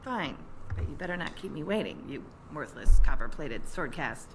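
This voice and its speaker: An actress playing a surly, sharp-tongued tavern woman in a fantasy video game, needling with sarcasm. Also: deep voice, very animated, normal speed, soft background music. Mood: grudging affection. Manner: sardonic, needling.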